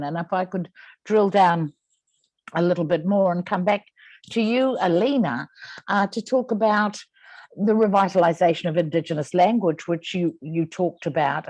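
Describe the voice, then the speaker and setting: A woman moderating a webinar panel, summarizing the speakers' points in a measured, articulate way. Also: fairly low pitch, a little mellow, no room sound.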